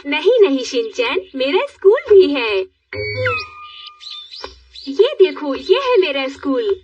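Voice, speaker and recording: A woman's voice: sits high, very animated, slow pace, recorded from a distance, exaggerated voice. A woman playing a headstrong, emotional young woman in a dubbed cartoon, speaking with dramatic emphasis. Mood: nostalgic, friendly. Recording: muffled lo-fi cartoon audio with music.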